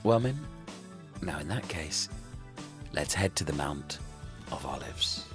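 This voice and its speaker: A man voicing a gruff, sceptical castaway in a comic audio story, deadpan, sarcastic and ponderous. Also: extremely low pitch, slow pace, music in the background, full character voice. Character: gruff, sceptical man named Gav among shipwrecked lads.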